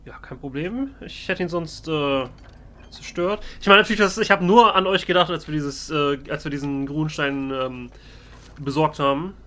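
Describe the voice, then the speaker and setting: A man's voice: a little high, normal speed, bright, forceful tone, a little hiss or hum. A man giving playfully ironic, in-character commentary as a let's play host. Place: voice-over on game footage.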